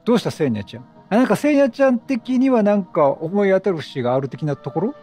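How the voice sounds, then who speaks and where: A man's voice: high-pitched, sing-song, fast talker, warm tone, faint music behind. A man giving casual let's play commentary, chatty and reacting aloud, questioning and repeating lines. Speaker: gaming streamer.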